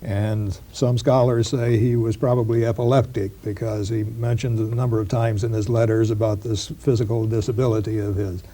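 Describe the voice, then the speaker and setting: Mellow-voiced man explaining history haltingly. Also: fairly low pitch, flat delivery. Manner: halting, with many fillers and restarts. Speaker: professor being interviewed. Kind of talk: explanatory interview talk. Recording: 1990s broadcast interview.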